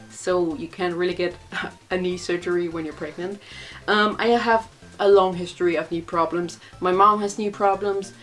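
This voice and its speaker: A young woman speaks English with a Danish accent, casual and rambling, full of little fillers. Her pitch is average for a woman. Faint music plays behind her. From Denmark. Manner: chatty, rambling, many fillers and laughs. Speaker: vlogger. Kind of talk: casual Q&A vlog.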